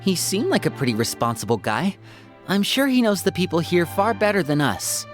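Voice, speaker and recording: A woman playing a low, thoughtful companion. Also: sing-song, moderate pace. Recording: with music behind.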